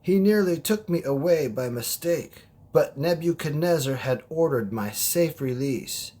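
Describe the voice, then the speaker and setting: A man playing a steadfast, solemn old prophet in an audio drama, husky-voiced. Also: on the high side, animated, unhurried, mellow. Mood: solemn, resolute.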